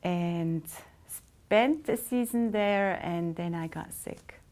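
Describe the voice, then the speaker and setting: A woman's voice: on the low side, slow pace, darkish tone. A middle-aged woman from Switzerland, telling her life story in an interview with frequent hesitations. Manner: reflective, many hesitations. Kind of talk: casual storytelling.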